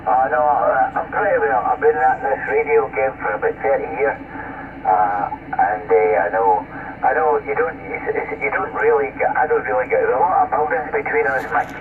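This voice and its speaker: A man working as a radio operator over CB, trading callsigns in a halting, polite, repetitive way. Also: mid-range voice, distant mic. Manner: halting, polite, repeats himself. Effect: CB radio transmission. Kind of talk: radio net exchange with callsigns. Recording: tinny, very noisy radio picked up from afar.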